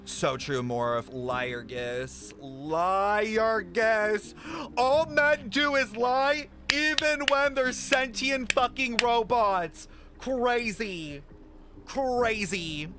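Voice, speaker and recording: A man's voice: sits high, drawn-out words, music in the background. A man streaming a game reaction, making profane, joking, chatty commentary with lots of fillers. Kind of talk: casual live-stream reaction.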